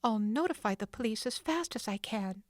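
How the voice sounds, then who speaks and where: A woman's voice: a little high, expressive, normal speed, no echo, clean studio sound, voice pushed up, lightly acted. A woman voicing an anxious but plucky young female sleuth, with breathless, worried exclamations.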